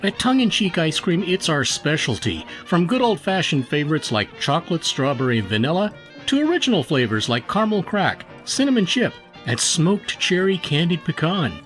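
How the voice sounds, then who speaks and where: A showy, enthusiastic man works as a radio announcer in a vintage style. His pitch swings widely through each phrase, with faint music behind him. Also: big pitch swings, moderate pace, fairly bright tone. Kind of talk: old-time radio announcing and ad read.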